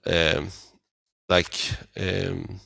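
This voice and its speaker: A man giving a casual interview as a band singer, halting as he searches for words. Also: low voice, little pitch movement, vocal fry, pushed and bright, no room sound, clean studio sound. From Sweden. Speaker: band vocalist, interview guest.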